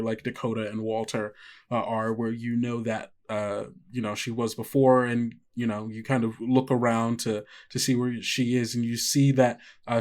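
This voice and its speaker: A man running a tabletop game as game master, narrating in a halting way full of fillers. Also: mid-range voice.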